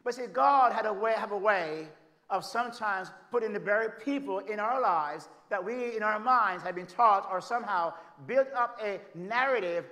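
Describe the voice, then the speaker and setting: A Jamaican man preaching a sermon, his voice high and ringing bright. He swings from forceful, emphatic declarations down to quiet, hushed storytelling, and the congregation answers him along the way. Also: normal speed. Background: congregation calling out amens.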